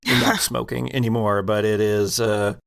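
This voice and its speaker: A man improvising narration as game master of a tabletop role-playing game, halting as he searches for words. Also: lowish voice, little pitch movement, vocal fry, smooth.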